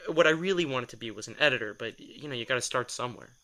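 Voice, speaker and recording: A man acts out a sardonic, exasperated guy in an audio drama. His voice is bright and forward at an ordinary male pitch. He rambles wryly and defensively, as if in casual conversation. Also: animated, brisk, rough recording. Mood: wry, rambling. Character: sardonic, exasperated male telepath, former personal assistant to a writer.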